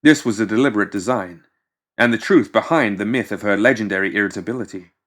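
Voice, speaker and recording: A man reading scripted fiction as an audiobook narrator, in a measured, dramatic storytelling manner. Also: fairly low pitch, a bit bright.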